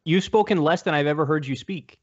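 A man's voice: a little high, brisk. A man chatting casually as a participant in a debate. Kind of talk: casual chat. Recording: dull lo-fi audio.

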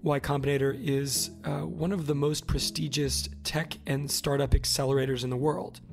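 A man's voice: mid-range voice, steady tone, normal speed, gravelly, soft background music. A man hosting a podcast interview, his voice scratchy and worn from overuse. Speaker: podcast host.

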